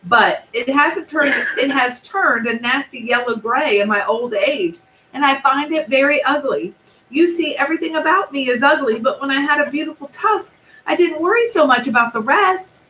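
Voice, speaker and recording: A woman's voice: high-pitched, normal speed, pushed and bright, recorded from a distance, a little hiss or hum, voice pushed up. A woman voicing a vain, weepy old male rhinoceros in a children's story, wailing about his looks. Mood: self-pitying. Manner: wails and frets, theatrical. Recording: echoey room.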